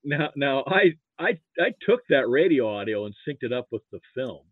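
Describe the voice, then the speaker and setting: Male American podcast guest, mid-pitched, anecdotal and halting, heard over a thin phone-quality line. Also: sing-song, slightly rough, no room sound. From Nebraska, US. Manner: halting, repeats words as he starts sentences. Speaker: podcast guest, sports archivist. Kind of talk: casual anecdotal interview talk. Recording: muffled, phone-like narrow-band line.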